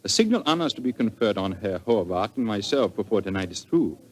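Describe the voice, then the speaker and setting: An actor playing a suave, flowery Viennese count and police official in a formal, theatrical 1940s radio style. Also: male voice, on the low side, sing-song, quick pace. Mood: suave, genial. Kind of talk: 1940s radio drama acting. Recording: noisy vintage 1940s radio recording.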